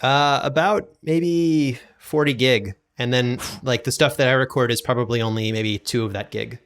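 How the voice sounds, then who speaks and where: Man explaining casually, mid-pitched. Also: steady tone, moderate pace, fairly bright tone, clean tone. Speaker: podcast guest, audio drama producer and sound designer.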